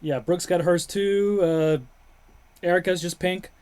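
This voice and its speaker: A man's voice: high-pitched, fairly even intonation, unhurried, darkish tone, clear, resonant tone. A man hosting a casual webcast, talking in a rambling way with restarts and fillers. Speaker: host.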